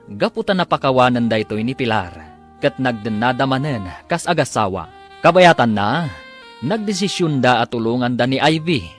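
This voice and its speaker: A man's voice: medium pitch, fairly bright tone. A man giving dramatic scripted narration for a radio drama serial.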